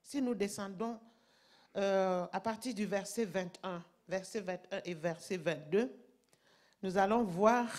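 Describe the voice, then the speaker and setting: A woman preaching a sermon in French, which is not her first language, reading scripture and explaining it emphatically, sometimes rising in volume. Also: medium pitch. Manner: slow, emphatic, rising to loud passages.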